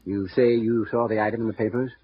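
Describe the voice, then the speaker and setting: An actor playing a smooth, guarded male insurance executive who speaks in measured, confiding tones, in a 1950s radio drama. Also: lowish voice, level tone, no echo. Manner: measured, confiding, halting with small pauses. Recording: muffled vintage radio broadcast with faint hiss.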